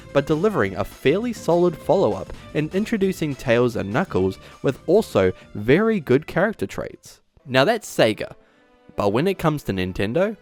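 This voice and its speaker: A man presenting an opinionated video essay in a casual way, partly scripted, as the host. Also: medium pitch. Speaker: YouTube host.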